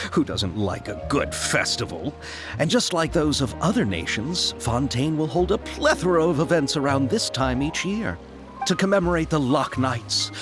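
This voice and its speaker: An eager young film producer, courteous and wordy with nervous little laughs. His voice sits a bit high and swings widely in pitch. Soft game music runs beneath him. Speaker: game character. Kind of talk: video game voice acting.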